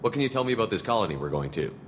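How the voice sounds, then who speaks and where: A man's voice: lowish voice, very animated, rapid-fire, in a large hall, background noise. A man voicing a firm, wary military commander in a video game, blunt and direct. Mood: direct, businesslike.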